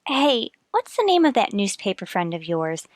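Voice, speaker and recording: A woman's voice: mid-range voice, big pitch swings, moderate pace, no room sound, lightly acted. A woman acting as an inquisitive, persistent wife and amateur sleuth in a radio mystery. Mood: preoccupied. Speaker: actor.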